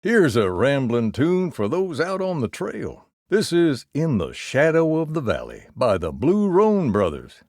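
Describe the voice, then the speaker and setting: A man's voice: a little high, huge pitch swings, a little slow, few pauses, a little mellow, dry recording, heavily acted. A wry, easygoing cowboy disc jockey for a video game's country radio station. He has a folksy Western American drawl that rises and dips dramatically as he announces songs. The sound is dry and studio-close, with no room on the voice. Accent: American Southern or Western. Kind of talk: scripted country radio DJ patter.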